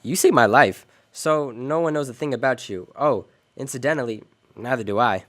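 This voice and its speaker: An actor playing a hard-boiled, wisecracking private detective in a noir radio drama, terse and wry. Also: male voice, medium pitch, normal speed, close to an everyday voice. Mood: wry, probing. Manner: wry, terse, tough-talking. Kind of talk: noir radio drama acting and first-person narration.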